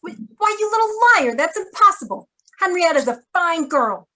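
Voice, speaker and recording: An actress playing a detective's loyal, urgent, sharp-tongued female ally in a noir radio drama. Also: very high-pitched, very animated, moderate pace, heavily acted. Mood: indignant, distressed.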